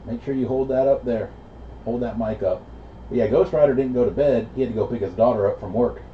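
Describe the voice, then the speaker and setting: A man's voice: mid-range voice, a little fast. A middle-aged man chatting casually as an operator over CB radio. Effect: CB radio transmission. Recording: muffled CB radio reception, loud static noise.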